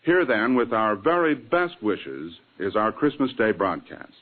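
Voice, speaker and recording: A man's voice: fairly low pitch, sing-song, a little slow. A man, the director of a radio drama, giving a scripted 1940s-style introduction. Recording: tinny vintage radio recording.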